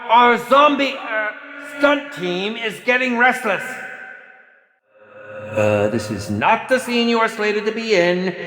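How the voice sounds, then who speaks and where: An actor voicing a pompous, campy, sadistic horror film director for a video game, with condescending, drawn-out theatrical delivery. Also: male voice, high-pitched, big pitch swings, pushed and bright, roomy sound, big-room sound, slight background noise, heavily acted. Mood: exasperated, scolding. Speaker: game announcer.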